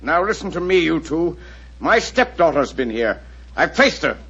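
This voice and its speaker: A gravelly blustering villain. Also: male voice, fairly high pitch, very animated, moderate pace, heavily acted. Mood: threatening. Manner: blustering, threatening, shouting. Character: menacing brutish male stepfather doctor, violent bully. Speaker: villain in radio drama. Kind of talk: 1940s radio acting. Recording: muffled old radio recording.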